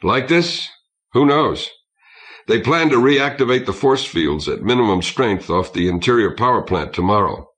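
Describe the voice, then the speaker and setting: A man's voice: mid-range voice, lively intonation, normal speed, fairly bright tone, close to an everyday voice. A man voicing a weary, grave male starship officer in an audiobook, speaking in a clipped, matter-of-fact way. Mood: brisk, matter-of-fact.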